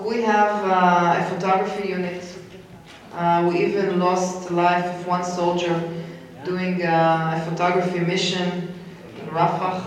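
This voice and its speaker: A man's voice: sits high, slight background noise. A man answering questions as a spokesperson at a public briefing, in an echoey hall, distant from the microphone. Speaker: military spokesperson on a panel.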